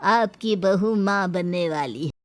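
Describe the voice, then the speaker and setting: A woman voicing an older, authoritative village man with a slow, declamatory delivery in a moral story. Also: on the low side, very noisy. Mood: solemn, announcing.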